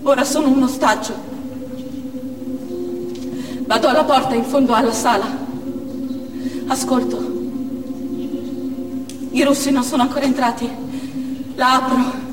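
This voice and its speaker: A woman acting a desperate, resolved young female martyr in a theatrical stage monologue, with slow, declamatory phrasing. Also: sits high, fairly even intonation, distant mic, over loud music, close to an everyday voice. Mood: grim resolve. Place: theatre stage, picked up from a distance. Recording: echoey theatre hall from afar, loud noise.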